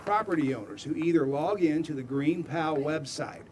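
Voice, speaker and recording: Male reporter doing a news voiceover, higher voice, noisy feed. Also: normal speed, a little mellow. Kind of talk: scripted news package narration.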